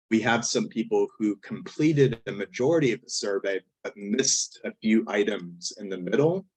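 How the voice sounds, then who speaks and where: A man with a hoarse, failing voice who often clears his throat, walking step by step through a statistics software tutorial over a screen recording. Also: on the low side, poor audio. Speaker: statistics instructor. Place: indoors at a computer, voice-over on screen capture.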